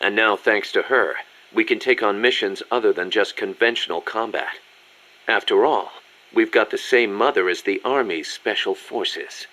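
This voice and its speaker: A man's voice: lowish voice, moderate pace, sharp tone, poor audio. A man playing a businesslike, articulate military second-in-command explaining things in a video game. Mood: earnest, admiring. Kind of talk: scripted video game briefing dialogue.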